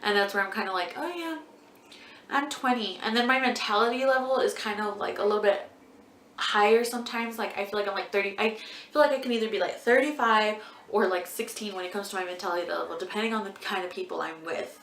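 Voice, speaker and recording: A woman's voice: mid-range voice, quick pace, a bit bright, a little hiss or hum. A twenty-year-old woman answering viewer questions as a beauty vlogger in a casual, rambling way, full of ums and likes. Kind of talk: casual Q&A chat. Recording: echoey room.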